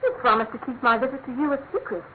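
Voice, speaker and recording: A woman's voice: a little high, quick pace, natural voice. An actress playing a proud, desperate aristocratic lady in a classic radio drama, formal and dramatic. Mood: indignant. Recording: tinny, narrow-band old radio, faint noise.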